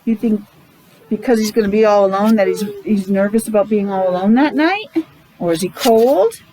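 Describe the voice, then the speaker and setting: A woman, a teacher reading a picture book aloud to young children, pausing to explain and ask questions in simple words. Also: medium pitch, lively intonation, dark tone, a little hiss or hum, rough recording. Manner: child-directed, asking questions, repeating words for emphasis. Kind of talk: animated read-aloud with casual explanatory asides.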